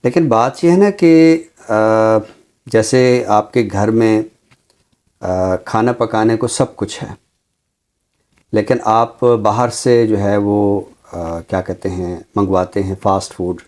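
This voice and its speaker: A man speaking as a teacher, explaining his views deliberately with emphatic repetition. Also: on the low side, a little mellow. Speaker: Urdu teacher, programme guest. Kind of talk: considered discussion, explanatory.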